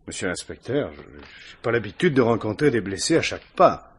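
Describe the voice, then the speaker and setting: Nervous male witness for a radio mystery: low husky voice, polite, hesitant, defensive. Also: big pitch swings, fairly quick, exaggerated voice. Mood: flustered, defensive. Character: uneasy, pleading male witness, a family man. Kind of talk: French radio crime drama acting.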